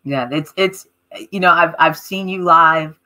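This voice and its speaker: A woman hosts a live interview in an enthusiastic, emphatic manner. Her voice is bright and forward, coming through a video call webcam. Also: sits low, unhurried. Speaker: host.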